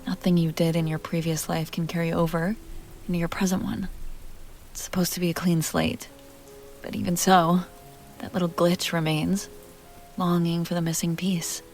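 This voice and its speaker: A woman's voice: fairly low pitch, little pitch movement, moderate pace, pauses often, a little mellow, faint music behind, natural voice. A woman playing a self-assured, playful vampire heiress in an intimate roleplay audio drama, talking directly to the listener. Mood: soft, wistful.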